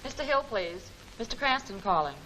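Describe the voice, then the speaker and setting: A noisy, crackling film serial soundtrack frames an actress as a loyal young woman assistant. Her voice is fairly high. She is efficient, polite and cheerful in a brisk telephone manner. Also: animated, natural voice. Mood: bright, businesslike. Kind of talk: 1940s film serial acting.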